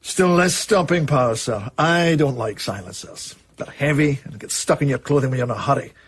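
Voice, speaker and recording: A man's voice: mid-range voice, moderate pace, husky, close to an everyday voice. A man playing a deferential military armourer in a radio drama, terse and matter-of-fact. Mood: blunt, dismissive.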